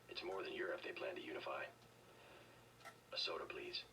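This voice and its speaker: A man playing a stoic, terse secret agent in a video game, speaking in a flat, deadpan way. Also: rumbling low, moderate pace, distant mic, a little hiss or hum, poor audio. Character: stoic gravel-low male secret agent, questioning locals.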